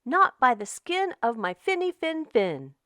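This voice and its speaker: A woman's voice: sits high, big pitch swings, unhurried, fairly bright tone, dry recording, studio quality, voice pushed up, full character voice. A woman voicing a plucky little fish in a children's storybook, with a childlike sing-song manner. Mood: defiant.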